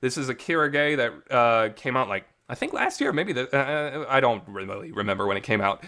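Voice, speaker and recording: A man with a bright, forceful voice at an average pitch talks off the cuff like an opinionated vlog reviewer. He is blunt and rambling, doubles back on words for emphasis and swears freely. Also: big pitch swings, normal speed. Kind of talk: casual opinionated talk, unscripted review. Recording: reverberant home recording.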